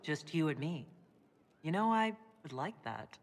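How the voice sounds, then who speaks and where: A woman playing a composed, indulgent warrior leader in a video game, speaking in a measured, earnest, gently amused way. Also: deep voice, very animated, heavily acted.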